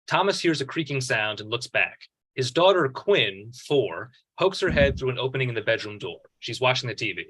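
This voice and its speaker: A man's voice: mid-range voice, lively intonation, a little fast, hardly any pauses, fairly bright tone, husky. A man reading screenplay stage directions as narrator at a table read.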